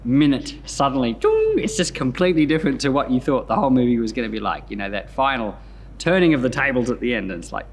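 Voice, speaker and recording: A man reading scripture and commenting on it in an expressive storytelling manner, as a pastor hosting a Bible podcast. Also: mid-range voice, fast talker.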